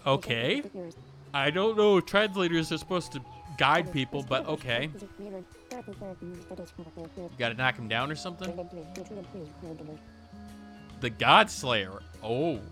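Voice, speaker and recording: A man's voice: on the high side, lively intonation, slow, pauses often, over music. A man giving casual, off-the-cuff gameplay commentary, rambling and interrupting himself as he thinks aloud. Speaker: gaming video host. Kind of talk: casual off-the-cuff let's play commentary, reading game text aloud.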